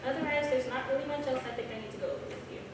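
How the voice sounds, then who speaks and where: A woman's voice: high voice, very fast, close to an everyday voice. A woman playing a frank English teacher and doctoral student in a live script reading, recorded in a theater. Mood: matter-of-fact. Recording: echoey theater, distant mic, clear noise.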